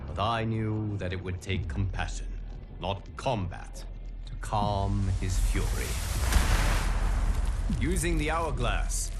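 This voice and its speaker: A man voicing a solemn, godlike male guardian of the timelines, narrating game cutscenes in a grave, measured way. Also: fairly low pitch.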